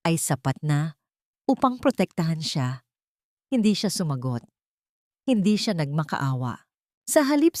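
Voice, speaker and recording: A woman's voice: deep voice, darkish tone, no room sound, studio quality. A woman storyteller reading scripted, dramatic fiction narration as a voice-over. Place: dry, close voice-over recording.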